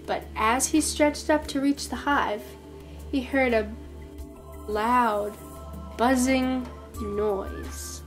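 A woman with a fairly high voice narrates a children's storybook. Her phrasing is careful, expressive and unhurried, with music softly behind her. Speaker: volunteer storybook reader.

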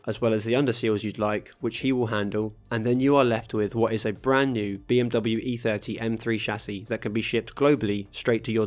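A man reading a scripted voice-over for his own car restoration video, calmly explaining each workshop step. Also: mid-range voice. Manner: steady, matter-of-fact explaining of workshop steps. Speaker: host of a car restoration channel.

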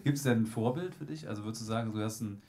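A man's voice: on the low side, fast talker, mellow, a little hiss or hum. Young male host asking loose, rambling questions. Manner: rambling questions with restarts and fillers, jokey. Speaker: show host. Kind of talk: casual onstage interview. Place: small living-room stage with a live audience. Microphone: handheld mic.

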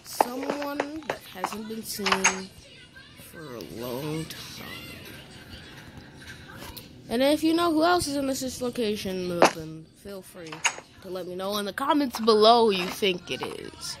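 A young woman talking casually and haltingly as a game video creator, with stammers. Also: medium pitch, very animated. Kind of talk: casual game roleplay commentary. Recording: noisy home screen recording, clicks.

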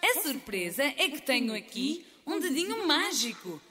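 A woman's voice: sits high, big pitch swings, moderate pace. A woman on a children's song playback, speaking and singing in a sweet, sing-song way. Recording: playback over loud kids' music.

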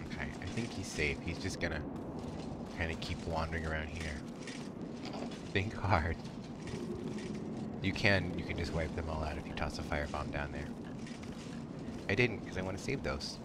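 A man's voice: rumbling low, fairly even intonation, normal speed, long pauses. A man giving casual, slangy, profane commentary as he hosts a video game playthrough. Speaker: let's play host. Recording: loud game noise behind the voice.

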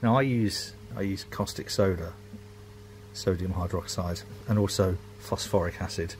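A man's voice: low-pitched, moderate pace, darkish tone, slight background noise. A man, rambling casually and self-mockingly while filming his home brewery workshop on a handheld phone.

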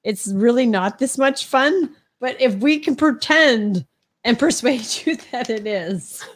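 A woman's voice: a little high, lively intonation, takes it slowly. A sniffly middle-aged woman, chatting casually and teasingly as she co-hosts and reads out comments. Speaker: livestream co-host reading chat comments. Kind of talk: casual chat.

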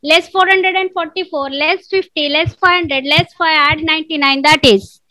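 A woman teaching an online abacus class, calling out sums in a brisk rhythm and praising each answer. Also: high-pitched, steady tone, heavy background noise. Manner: brisk, encouraging, repetitive praise. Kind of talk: calling out mental arithmetic drills.

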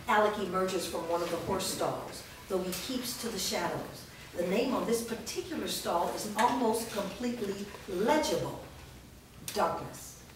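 A woman's voice: mid-range voice, a little slow. Woman narrating, very echoey and distant. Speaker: stage directions reader. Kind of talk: scripted narration. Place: bare indoor room with small audience. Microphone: far camera. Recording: echoey room, distant mic, noisy.

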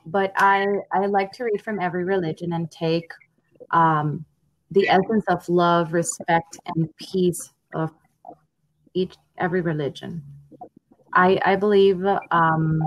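A young woman from Honduras talking on a headset over a video call, thoughtful and pausing with ums. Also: on the low side, fairly even intonation, poor audio. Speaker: language learner. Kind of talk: casual video call conversation. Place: home room.

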